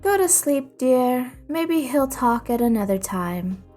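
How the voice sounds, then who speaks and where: A woman voicing a patient, gentle mother who soothes her young son, in a storybook reading. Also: high voice, lively intonation, takes it slowly, faint music behind, heavily acted. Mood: soft, resigned.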